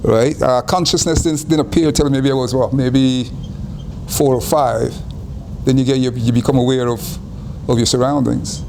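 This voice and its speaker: An older man from Guyana, telling his life story in an interview in an unhurried way, often repeating and restarting phrases. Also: mid-range voice, steady tone, vocal fry, a little mellow, a little hiss or hum.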